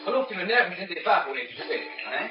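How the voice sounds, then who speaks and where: An actor playing a polite, chatty, obliging waiter in a 1960s radio drama. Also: male voice, sits high, sing-song, very fast. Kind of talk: 1960s Dutch radio play acting. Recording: echoey, distant, dull radio recording with music.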